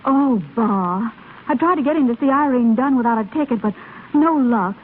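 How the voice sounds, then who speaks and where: A woman's voice: a little high, animated, moderate pace, close to an everyday voice. An actress playing a breezy young woman chatting to a friend in a 1930s radio commercial skit. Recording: muffled scratchy 1930s radio broadcast.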